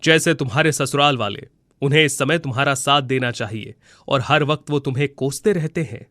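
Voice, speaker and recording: Kindly talking tree for a moral fairy tale, played by a man: bright, forward tone, gentle manner, dry recording. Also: medium pitch, brisk, no room sound, natural voice. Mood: consoling. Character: kindly, consoling magical talking mango tree. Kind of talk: Hindi moral storybook voice acting.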